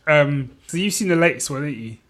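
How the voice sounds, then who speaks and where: British male co-host, somewhat high bright voice, casual conversational delivery. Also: expressive, quick pace. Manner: informal, checks in with co-host. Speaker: podcast co-host. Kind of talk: casual chat.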